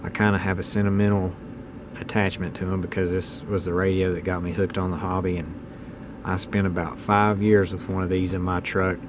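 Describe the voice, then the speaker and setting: A man's voice: low voice, level tone, a little fast, background noise. A man in his thirties giving a casual, unscripted hobbyist video talk in a plainspoken, opinionated, folksy way. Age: 30s. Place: indoors at home.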